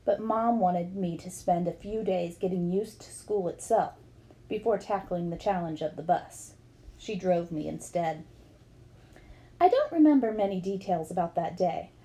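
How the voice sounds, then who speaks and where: A woman's voice: mid-range voice, dark tone, low-quality recording. A woman reading a memoir aloud as scripted storybook narration. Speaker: reader of a book aloud.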